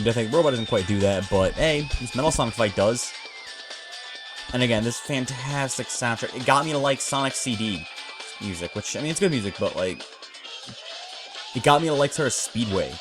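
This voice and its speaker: A man with a mid-pitched voice commentates casually over a video game. He rambles along with obvious enthusiasm. Video game music plays clearly behind his voice.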